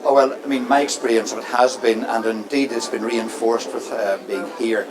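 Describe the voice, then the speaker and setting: A man giving casual, reflective interview talk in a measured, earnest way. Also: medium pitch, steady tone, noisy background. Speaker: interviewee at a community pantomime. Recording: music playing behind the voice. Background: music.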